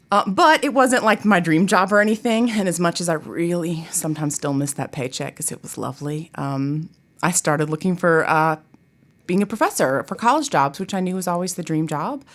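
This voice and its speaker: A middle-aged woman from Tennessee with a fairly low voice talks as a guest on an interview show. She is chatty and expressive, dropping informal asides into a casual, conversational delivery. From Tennessee, United States. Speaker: guest, theater professor. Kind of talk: casual chat.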